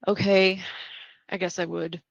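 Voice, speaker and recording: A woman's voice: on the low side, flat delivery, unhurried, creaky, no echo, dull audio. Woman acting a cocky, sardonic young patient, close-sounding. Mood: smug. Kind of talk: dramatic table read of a screenplay.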